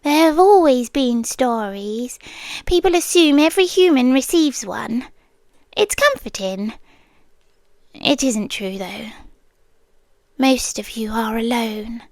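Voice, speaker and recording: A possessive, devoted guardian angel, played by a British woman, whispers close into the mic in an ASMR roleplay. Her voice sits high and unhurried. The sound is dry, with no room around it. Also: animated, no echo. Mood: intense devotion. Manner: soft, intimate, often whispered.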